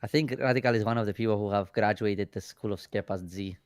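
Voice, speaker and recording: A man from Greece with a Greek accent, co-casting a card game tournament in casual, excitable talk that often restarts phrases. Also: lowish voice, fast, clear, resonant tone, no room sound, clean studio sound. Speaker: co-caster.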